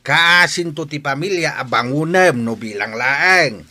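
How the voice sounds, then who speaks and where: An actor playing an earnest elderly father in a radio drama, admonishing his son with drawn-out, emphatic delivery. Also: on the high side, lively intonation, sharp tone, heavily acted. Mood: stern pleading. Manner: slow, drawn-out, emphatic preaching.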